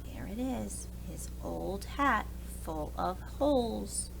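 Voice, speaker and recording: A woman preschool teacher reading a storybook aloud to young children in an expressive, deliberate way. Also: mid-range voice, vocal fry, background noise.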